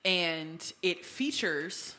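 A woman's voice: on the low side, drawn-out words, bright, forceful tone, slight background noise. A woman co-hosting a casual podcast chat, wry and steering the talk from topic to topic. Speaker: podcast host.

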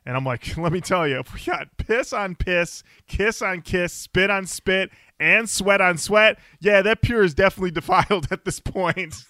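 Man, podcast co-host, bright voice, smooth and crisp, playful banter. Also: high voice, moderate pace, hardly any pauses, dry recording, studio quality. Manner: playful, jokey bits. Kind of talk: casual podcast chat.

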